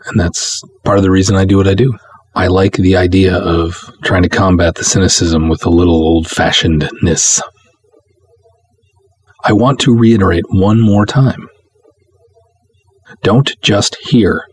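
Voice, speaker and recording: Male podcast host, low and husky, direct-to-listener announcements. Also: fairly even intonation, measured pace, pauses often, no echo. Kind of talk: podcast monologue, motivational announcements.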